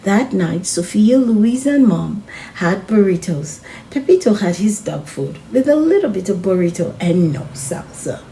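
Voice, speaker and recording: A woman reading a picture book aloud for children in an expressive, encouraging way, speaking directly to her young listeners. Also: mid-range voice, unhurried, slight background noise, poor audio. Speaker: children's read-aloud channel host.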